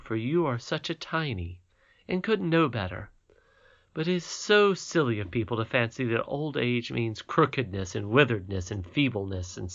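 A man reading aloud as a calm, wise and ancient great-great-grandmother in a storybook, speaking gently and steadily, in a dull lo-fi recording. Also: medium pitch.

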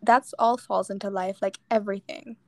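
A young woman co-hosting a podcast, chatting casually and telling quick stories with asides. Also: medium pitch, fairly even intonation, a little mellow, no echo. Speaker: podcast co-host. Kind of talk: casual chat.

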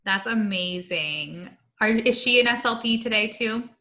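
A woman's voice: a little high, a little slow, sharp tone. A woman hosting a podcast interview, chatting casually and affirming her guests. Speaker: podcast host.

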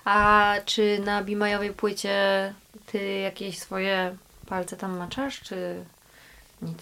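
A woman's voice: medium pitch, monotone, slow pace, gravelly, sharp tone, smooth. A woman hosting an interview, speaking effusively and emphatically as she praises her guests.

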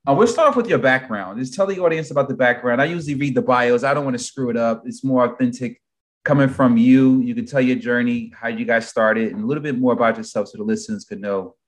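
A man's voice: medium pitch, fast talker. A man hosting an interview podcast, chatting in an upbeat, conversational way. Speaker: podcast host.

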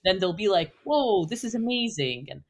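A woman guest on a podcast, chatting in an animated way and using plenty of fillers like "like" and "you know". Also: mid-range voice, moderate pace. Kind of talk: casual podcast conversation.

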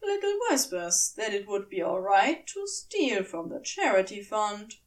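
A woman reading a scripted story aloud as an expressive narrator. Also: mid-range voice, unhurried, reverberant, distant mic. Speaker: podfic narrator.

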